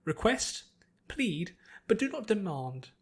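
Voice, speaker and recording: Man playing a formal, measured priest trainer. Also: high voice, very animated, slightly rough, voice pushed up, exaggerated voice. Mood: instructive. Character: elderly male priest trainer, formal and patient. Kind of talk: dramatised audiobook character voice.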